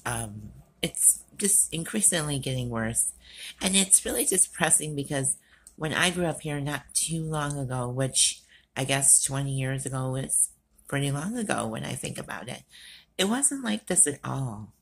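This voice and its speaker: A middle-aged woman vlogger with a raspy voice, sounding tired and worn out, rambling earnestly with frequent ums in an unscripted rant. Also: expressive, measured pace, poor audio. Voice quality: raspy, gravelly low voice. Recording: faint outdoor work noise behind.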